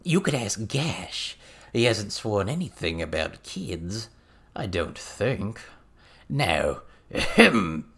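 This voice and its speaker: Crude little goblin tutor for an audiobook, male narrator acting, excitable, theatrical, swinging widely in pitch. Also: slow pace, vocal fry, husky, heavily acted. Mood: eager, instructive.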